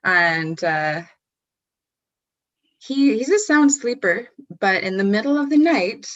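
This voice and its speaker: A woman's voice: mid-range voice, expressive, slow pace, lo-fi sound. Hesitant woman telling a story. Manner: hesitant, many ums and restarts. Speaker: guest wellness coach. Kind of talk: casual storytelling in conversation.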